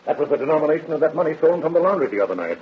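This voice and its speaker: An actor playing a brisk, confident male police detective, pressing and wry, in a 1930s-style radio crime drama. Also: fairly high pitch, very animated, husky, full character voice. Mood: smug, wry. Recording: dull old radio recording.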